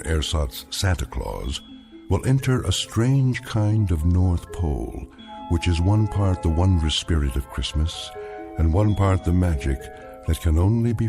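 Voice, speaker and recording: A man narrating an audio drama in a measured, ominous delivery. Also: sits low, lively intonation, creaky. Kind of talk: scripted narration. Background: music.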